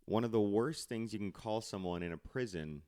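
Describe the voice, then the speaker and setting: A man's voice: on the low side, normal speed, mellow, clean tone. A man hosting a podcast interview, bantering casually in a teasing, jokey way. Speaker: podcast host.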